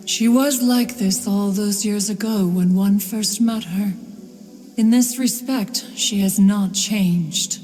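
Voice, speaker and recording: A woman's voice: medium pitch, dark tone, lightly acted. A woman playing an ancient, haughty and wise immortal crane spirit in an English video game dub, stately and formal, with a reverberant voice. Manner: stately, formal, refers to self as "one". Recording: long reverberant effect on voice.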